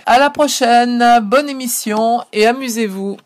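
A woman from France hosting a local radio music show, talking casually and pausing often with fillers and restarts. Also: a little high, background noise. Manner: hesitant, many fillers and restarts. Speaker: radio host.

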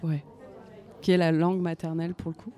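A young woman from France talks softly in a relaxed, unhurried way. Her voice sounds warm and mellow. She often pauses and restarts as she searches for words. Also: on the low side. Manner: soft-spoken and hesitant, with many fillers and restarts. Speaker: singer-songwriter guest. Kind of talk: casual interview talk.

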